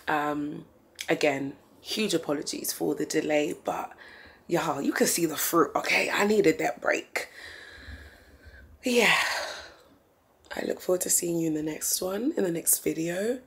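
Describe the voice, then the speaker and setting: Raspy low-voiced woman vlogger. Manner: thoughtful, unhurried, faith-centered reflection. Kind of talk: reflective vlog sign-off.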